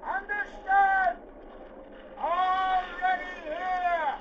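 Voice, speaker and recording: A hollering sailor with a very high, strained call. He draws out his shouts slowly in old-time drama style, on a muffled recording. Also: steady tone, full character voice. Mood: urgent. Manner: calling out loudly, drawn-out shouts. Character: sailor hollering replies across a stormy sea. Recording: muffled, noisy vintage radio recording.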